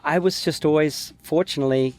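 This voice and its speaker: A middle-aged man from New Zealand, a former pro snowboarder, telling stories in a relaxed interview. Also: fairly high pitch, brisk. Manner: relaxed storytelling, trails off with fillers. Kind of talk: casual interview chat.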